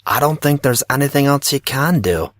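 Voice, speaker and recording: A man's voice: mid-range voice, animated, moderate pace, no room sound, studio quality, natural voice. A man playing a cocky, breezy teenage schoolboy who talks in slangy, self-assured teasing for an audio drama. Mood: placating. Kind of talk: audio drama character reading.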